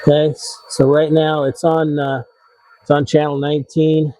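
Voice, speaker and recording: A man hosting a how-to vlog, explaining things in a casual, conversational and slightly halting way. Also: on the high side, little pitch movement, dark tone, dry recording, muffled recording. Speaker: vlog host giving RV tips.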